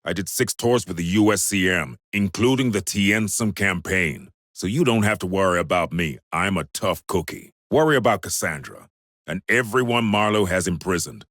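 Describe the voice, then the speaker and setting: An actor playing a tough former marine turned mercenary in a video game, talking in a dry, self-assured way. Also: male voice, low-pitched, moderate pace, a bit bright, no echo. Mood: cocky, rallying. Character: gruff mercenary, former marine, cocky turncoat. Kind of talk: video game cutscene acting.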